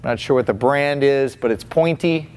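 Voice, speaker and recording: A man's voice: medium pitch, moderate pace, darkish tone, clean tone. A man in his forties giving a casual, folksy face painting demonstration with many restarts, indoors in a small room.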